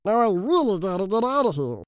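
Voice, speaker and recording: A man voicing a young male caterpillar who becomes a butterfly in a storybook, speaking in a drawn-out, childlike way. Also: high voice, very animated, smooth, no room sound, studio quality, voice pushed up. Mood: smug.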